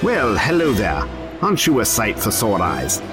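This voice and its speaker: Male lead, lower register, animated delivery, sardonic. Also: normal speed, over music. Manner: wry, sardonic inner monologue. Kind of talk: audio drama acting.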